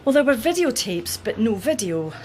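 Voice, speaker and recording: An actress playing a keen, inquisitive junior police detective in a radio drama. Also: female voice, on the high side, sing-song, normal speed, darkish tone. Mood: matter-of-fact. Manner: inquisitive, matter-of-fact. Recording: faint background noise.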